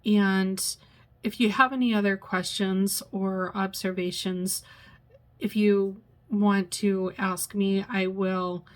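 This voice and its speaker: Slow, halting woman in her sixties. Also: medium pitch, fairly even intonation. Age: 60s. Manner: halting, searches for words, many pauses and fillers. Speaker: vlogger. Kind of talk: personal reflective vlog.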